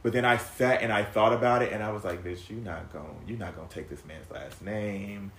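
Low-voiced man in his thirties, echoey room. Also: a little fast, a bit bright. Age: 30s. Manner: emotional, rambling, many fillers and restarts, swears freely. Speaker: podcast guest sharing a personal story. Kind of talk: casual chat.

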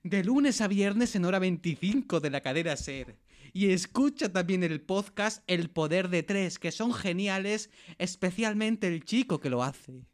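Man acting a slow, soothing otherworldly counsellor. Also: high-pitched, lively intonation. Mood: encouraging, solemn. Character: reassuring visitor from another planet giving therapy advice. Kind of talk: amateur radio play acting.

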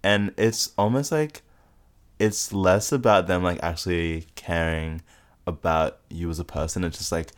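A man's voice: low voice, fairly even intonation, measured pace, smooth. A man with an Australian accent hosting a casual podcast chat. He fills his talk with "like" and laughs easily. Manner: says "like" constantly, laughs often. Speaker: podcast host.

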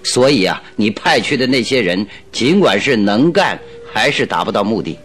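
An actor playing a shrewd, playful, self-assured detective in a radio drama, musing and amused in manner. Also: male voice, on the low side, animated, moderate pace, a bit bright, faint music behind. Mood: probing, thoughtful.

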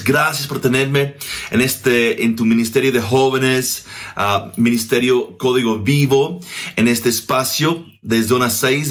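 Australian guest minister, thirties, native English speaker, slow and halting in his second language, recorded at home. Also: mid-range voice, fairly bright tone. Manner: halting, searching for words. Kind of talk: casual video message.

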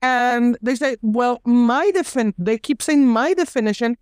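A woman speaking English as a second language, arguing her point with emphatic indignation and stopping often to restart a sentence. Her voice is very clean and smooth, a touch higher than most women's, and the sound is close and dry. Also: measured pace, darkish tone, dry recording. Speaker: guest. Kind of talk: heated podcast discussion.

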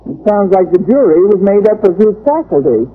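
A woman's voice: mid-range voice, big pitch swings, fairly quick. An actress playing a wisecracking woman English teacher with dry, sardonic delivery in an old radio sitcom. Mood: wry, deadpan. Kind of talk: 1940s-50s radio sitcom acting. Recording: noisy, tinny old-time radio recording.

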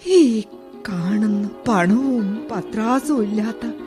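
A woman's voice: mid-range voice, expressive, extremely slow, music in the background. A woman acting a bedridden old widow in a Malayalam radio drama, with a Kerala accent, sighing between phrases, nostalgic and firm yet motherly. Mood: wistful.

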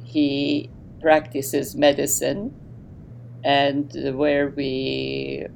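A middle-aged woman from Lebanon with a heavy Lebanese Armenian accent, telling her story slowly as a podcast guest. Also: a bass voice, monotone, creaky, slight background noise. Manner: warm, unhurried, with fillers and laughs.

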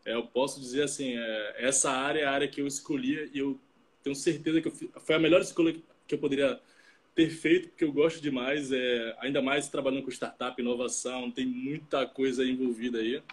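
A man in his late twenties from Salvador, Brazil, co-hosting a casual live stream and talking with a video-call mic, relaxed and full of fillers. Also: medium pitch, brisk. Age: 20s. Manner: relaxed, chatty, many fillers and tag questions.

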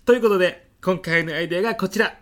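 A man in his fifties playing an earnest department manager who presents in a young woman's girlish manner, in polite, expressive business speech for a comedic radio drama. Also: high-pitched, racing. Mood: gushing, dreamy. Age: 50s.

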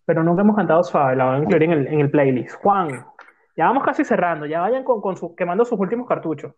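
A man's voice: on the high side, expressive, quick pace. A man from Venezuela hosting a casual music talk, chatty and joking as he tells stories. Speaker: podcast host. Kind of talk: casual chat, leading a music playlist talk. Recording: dull lo-fi sound.

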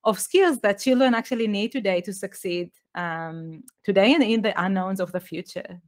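A woman's voice: medium pitch, normal speed. A middle-aged woman from Israel with an Israeli accent, a guest on a podcast interview, explaining her ideas earnestly with light laughs. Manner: earnest, explanatory, with light laughs and slightly non-native phrasing. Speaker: guest, education author.

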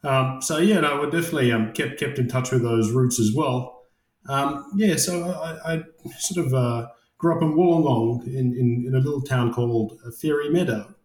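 A man's voice: medium pitch, moderate pace, darkish tone, roomy sound, rough recording. A man in his late thirties from Iran, raised in Australia, talking as a guest in a casual interview and often hesitating with fillers and restarts. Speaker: guest, construction project manager.

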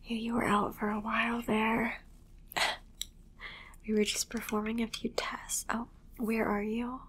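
A woman whispering an ASMR roleplay as a calm, reassuring alien medical examiner, repeating short reassurances in a hushed, soothing way. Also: fairly high pitch, unhurried, slightly rough.

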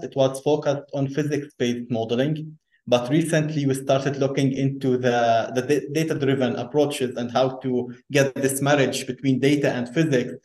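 A man from Lebanon talks through ideas as an interview guest, repeating words and restarting sentences. His voice sits in a middle pitch. The recording has a roomy echo. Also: darkish tone. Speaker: guest engineer. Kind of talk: conversational interview. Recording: echoey room.

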